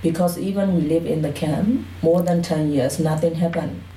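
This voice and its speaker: Low-voiced Burmese-accented woman in an echoey room. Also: steady tone, normal speed, dark tone. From Burma, Karen community. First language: Karen. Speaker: interview guest. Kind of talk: personal life-story interview. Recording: roomy echo, distant mic, faint noise.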